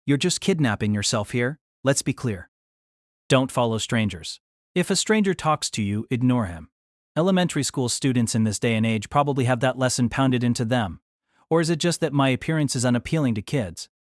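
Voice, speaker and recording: A man's voice: medium pitch, expressive, a little fast, dry recording, studio quality, natural voice. An actor playing a wry, exasperated young man, delivering wordy first-person story narration and dialogue in a deliberating, self-conscious way. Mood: puzzled, lecturing.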